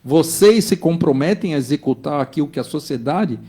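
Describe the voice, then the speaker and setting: A man's voice: a little high, lively intonation, normal speed, mellow, breathy edge. A man in his fifties speaking as a business executive guest in a studio discussion. He talks in an emphatic, insistent way and keeps checking that the listener follows. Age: 50s.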